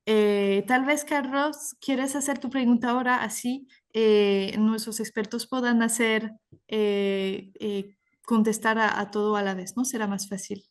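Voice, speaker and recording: A woman moderates a panel in a rather high voice. She is friendly and a little hesitant, with frequent fillers. She hands the floor from one speaker to the next. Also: fairly even intonation, unhurried.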